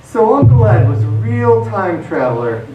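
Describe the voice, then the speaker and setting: An actor playing a fond, reflective relative in a live radio drama monologue. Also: male voice, high voice, big pitch swings, moderate pace, phone-quality sound. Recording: echoey hall, far from mic, faint noise.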